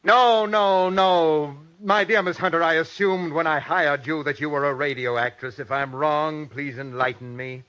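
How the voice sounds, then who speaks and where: An actor playing a cold, pompous, exacting director in an old radio drama, speaking with haughty, sarcastic precision. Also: male voice, a little high, moderate pace, few pauses, sharp tone. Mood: exasperated, sarcastic. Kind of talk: 1940s radio drama acting. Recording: dull vintage radio recording.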